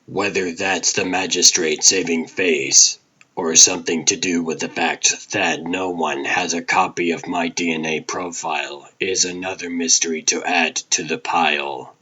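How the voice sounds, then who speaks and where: Man acting a very deep, grim brooding vigilante detective. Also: steady tone, sharp tone, husky, muffled recording, full character voice. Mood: weary, brooding. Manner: grim, terse, deliberate. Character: brooding, world-weary older male vigilante detective, presumed dead. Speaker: narrator and voice actor of a comic dub. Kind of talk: dramatic first-person noir scripted narration.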